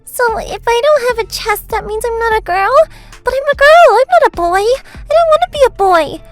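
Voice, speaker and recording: A woman's voice: extremely high pitch, lively intonation, moderate pace, faint music behind, pitched above the performer's own voice. A woman voicing a sweet, worried five-year-old girl with a small pleading voice. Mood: tearful.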